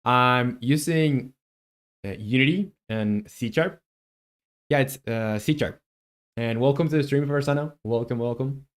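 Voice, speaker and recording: A man's voice: mid-range voice, measured pace, clear, resonant tone. A man who develops a game on a live stream, muses aloud while he codes and chats with viewers. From Chile.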